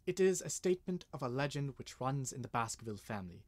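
A man acts out an earnest, uneasy gentleman in a 1930s-style radio drama. He speaks formally at a middle pitch, with careful, old-fashioned delivery. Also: expressive, fast talker, natural voice. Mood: solemn reading. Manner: hesitant, formal. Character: nervous, earnest gentleman client reading an old legend. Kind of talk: 1930s radio mystery acting.

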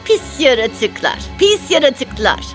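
A woman playing a spoiled, rude young stepsister who complains petulantly in an animated fairy tale. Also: high-pitched, slow pace, soft background music, heavily acted. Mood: spiteful.

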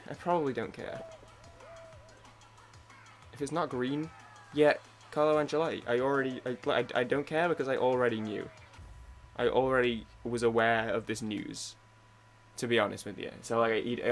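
Rambling young man commenting on a game. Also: mid-range voice. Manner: rambling, self-interrupting, hums and sings along. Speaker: gaming YouTuber. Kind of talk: casual gameplay commentary. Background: game music.

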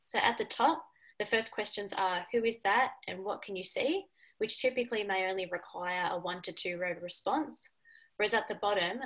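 A young woman reads through a prepared presentation on a video call. Her voice sits a little low for a woman, and she explains each point in a measured, orderly way. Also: fairly bright tone, low-quality recording. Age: 20s. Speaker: student presenter. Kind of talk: scripted presentation. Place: small furnished room at home, indoors. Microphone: video call on a computer.

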